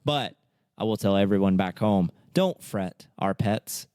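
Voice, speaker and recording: A man's voice: lowish voice, unhurried, darkish tone, smooth, no echo. Casual young American man, dry close sound. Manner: informal, conversational. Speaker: podcast co-host. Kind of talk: casual chat.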